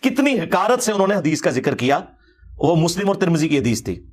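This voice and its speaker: High-pitched Pakistani man lecturing, Punjabi accent. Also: sing-song. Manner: emphatic, argumentative, rhetorical. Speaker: religious lecturer. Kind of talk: informal religious lecture.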